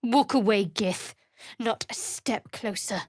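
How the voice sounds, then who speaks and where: A woman voicing a guarded, sardonic young cleric of a dark goddess in a fantasy video game, with a dry, wry, measured delivery. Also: mid-range voice, dry recording. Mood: cold, stern.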